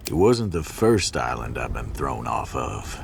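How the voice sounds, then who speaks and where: A man playing a scarred, menacing hitman in a noir video game, gravelly and coldly courteous in his threats. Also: very deep voice, very animated, a little hiss or hum, full character voice. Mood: cold menace. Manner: slow, menacing, mockingly polite.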